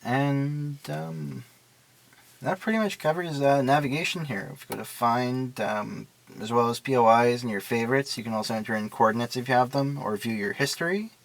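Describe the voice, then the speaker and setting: A man talks through a product demonstration in an ordinary mid-pitched voice. His delivery is casual and unscripted, explaining each step plainly and matter-of-factly. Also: moderate pace, vocal fry.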